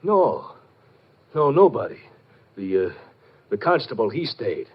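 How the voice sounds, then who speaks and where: Shifty gambling racketeer, muffled sound. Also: male voice, lowish voice, sing-song, a little slow, husky, full character voice. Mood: nervously affable. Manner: falsely affable, hedging, stammering excuses. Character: shifty, evasive male gambling-house racketeer. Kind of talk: 1950s radio crime drama acting. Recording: dull 1950 radio recording.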